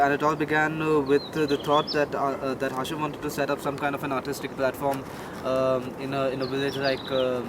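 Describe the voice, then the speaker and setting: Flat-toned man with frequent hesitations. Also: mid-range voice. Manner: hesitant, many fillers. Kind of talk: explanatory interview. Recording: outdoor with traffic noise.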